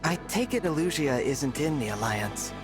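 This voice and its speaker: A man playing a gentle, earnest young divine hero in a video game, soft-spoken and formal in manner. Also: a little high, big pitch swings, normal speed, music in the background. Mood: thoughtful, sincere. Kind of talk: scripted video game voice acting.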